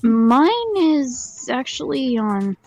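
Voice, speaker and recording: A woman's voice: high voice, very animated, very slow, darkish tone, no echo, soft background music. A woman chatting casually as a friend on a game stream. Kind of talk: casual voice chat.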